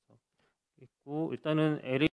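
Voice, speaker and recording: A man's voice: on the high side, level tone, brisk, darkish tone, clean tone. A man, a cardiologist, giving a case presentation at a conference podium microphone, talking hesitantly with many fillers and restarts. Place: conference hall stage.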